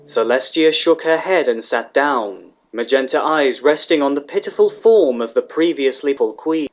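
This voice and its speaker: Man, medium pitch, scripted story narration, slightly dull lo-fi recording. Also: animated, normal speed.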